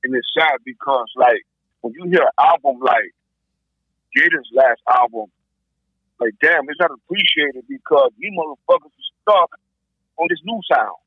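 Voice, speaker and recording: A man chatting as a podcast panelist in a blunt, profane, animated way, with a gravelly, husky voice. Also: mid-range voice, normal speed, pauses often, dry recording. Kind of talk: casual chat about hip-hop. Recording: dull lo-fi call audio.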